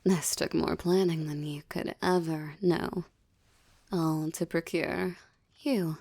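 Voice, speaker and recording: A woman voicing a seductive, menacing succubus in an intimate audio roleplay, with formal, ornate phrasing and a teasing, breathy delivery. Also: deep voice, steady tone, takes it slowly, warm tone, no room sound, heavily acted. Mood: haughty. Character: seductive, menacing adult female succubus demon, teasing and possessive. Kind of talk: intimate audio roleplay, ASMR-like monologue addressed to listener.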